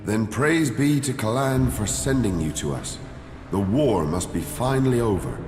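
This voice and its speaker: Solemn low-voiced cleric in an echoing hall played by a man. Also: expressive, moderate pace, noisy background, lightly acted. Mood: reverent, grateful. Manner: formal, reverent. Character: devout, solemn male cleric of a fantasy faith. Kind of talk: video game dialogue. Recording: echoey long hall reverb, music behind.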